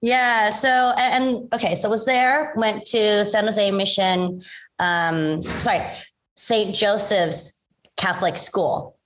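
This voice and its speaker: A woman's voice: mid-range voice, steady tone, slow, a bit bright, slight background noise. A woman guest in a casual interview, chatty and self-deprecating, with frequent fillers and restarts as she tells her story. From United States.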